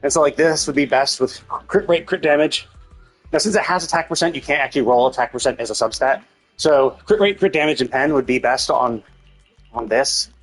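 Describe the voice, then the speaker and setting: A man with a husky, slightly rough voice at an ordinary male pitch, streaming a video game. He talks casually and off the cuff, rambling as he thinks through his options aloud. Music plays behind him. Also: fairly quick, rough recording. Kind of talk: casual unscripted gameplay commentary.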